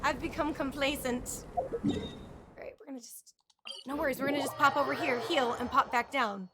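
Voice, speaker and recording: A woman's voice: high voice, normal speed, very noisy. A woman giving casual, chatty live gameplay commentary, often muttering to herself. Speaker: game streamer. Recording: loud game music behind. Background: game music.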